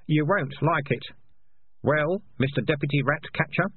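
A male narrator plays a sly teenage boy in a storybook. He is dry and mock-polite, his raised voice slightly husky, with a thin band-limited sound. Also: a little high, unhurried, no room sound, narrow, tinny audio, pitched above the performer's own voice. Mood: cool, sharp.

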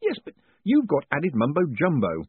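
Guy doing a stressed con artist in an audiobook, voice pushed higher, faltering n exasperated, tinny audio. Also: huge pitch swings, moderate pace, slightly rough, no echo, exaggerated voice. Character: agitated male con-man, shaken and protesting. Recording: muffled phone-like audio.

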